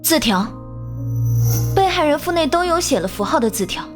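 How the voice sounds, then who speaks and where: A woman playing a haughty, skeptical young woman in an animated crime drama, with a pointed, challenging way of talking. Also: high voice, normal speed, over music.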